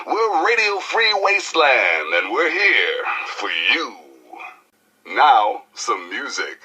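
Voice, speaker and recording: Hyped up wasteland radio dj, brash and showy, super bright voice, really animated. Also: male voice, medium pitch, slow pace, husky, full character voice. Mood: hyped, exuberant. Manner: showy, howling, irreverent. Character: brash, hyped post-apocalyptic rebel radio DJ. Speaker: radio DJ and newsreader. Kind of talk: radio DJ patter.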